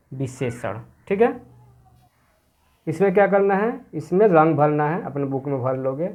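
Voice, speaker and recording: A man's voice: a little high, darkish tone. A man teaching a grammar workbook to young children, asking questions and answering them himself as he repeats the key words. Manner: mixes English words into the explanation.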